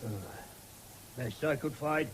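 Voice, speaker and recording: An actor playing a rough, deaf old vagrant with a rural English accent in a radio drama, terse and muttering. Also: male voice, on the high side, slow pace. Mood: hesitant, deaf. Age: older. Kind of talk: 1970s British radio drama acting. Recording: faintly hissy old radio broadcast.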